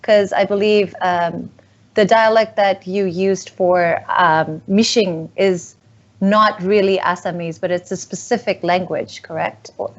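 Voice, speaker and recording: A woman's voice: lowish voice, fairly even intonation, unhurried, lo-fi sound. A woman hosting an interview, asking questions in a chatty way with lots of "like" and "you know". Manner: chatty, with many likes and you-knows. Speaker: interview host.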